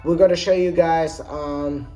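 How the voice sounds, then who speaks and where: A man's voice: fairly high pitch, steady tone, measured pace, warm tone, faint music behind. A man showing his handheld game collection, talking casually and enthusiastically, often restarting his sentences. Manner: rambling, enthusiastic, frequent restarts. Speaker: gaming YouTuber. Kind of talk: casual menu walkthrough.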